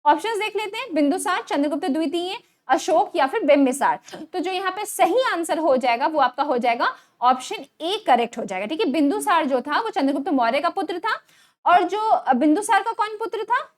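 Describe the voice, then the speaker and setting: Very high-pitched Indian woman teaching. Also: expressive, normal speed. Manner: instructional, repeats key answers, frequent check-in tags. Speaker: online exam-prep teacher. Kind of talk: quiz lesson, reading questions and options then giving answers.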